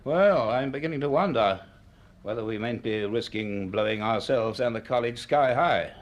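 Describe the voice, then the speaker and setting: An actor playing a middle-aged, cautious British professor in a radio drama, speaking in a deliberate, formal, literary manner. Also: male voice, medium pitch, close to an everyday voice. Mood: cautious, matter-of-fact. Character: measured, cautious male university professor. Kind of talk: radio drama acting and narration. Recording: noisy archive radio drama recording.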